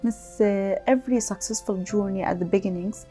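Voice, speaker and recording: A woman's voice: mid-range voice, moderate pace, dark tone, soft background music. A woman hosting an interview show, asking scripted questions in a formal, polite manner. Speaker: host.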